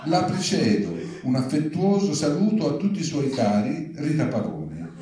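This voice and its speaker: A man giving a live reading of satirical open letters in a formal, deadpan manner. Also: a little high, moderate pace, crowd noise. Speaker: reader at a public reading. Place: large indoor venue, live event. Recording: distant, very echoey hall.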